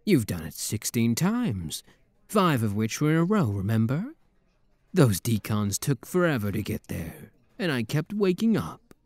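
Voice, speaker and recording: A man's voice: medium pitch, sing-song, measured pace, a little mellow, no room sound. A man acting a suave, teasing demon prince in a romantic roleplay audio drama, with a courtly, flirtatious drawl and playful mock menace. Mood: amused, flirtatious.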